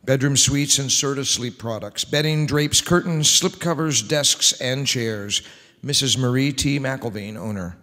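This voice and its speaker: A middle-aged man reads old-time radio show scripts as host. His voice is on the deeper side of average, and it rings with long reverb in a big hall. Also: measured pace, bright, forceful tone. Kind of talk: scripted narration, reading old-time radio ads and introductions. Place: large indoor hall, on stage. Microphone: microphone on a stand.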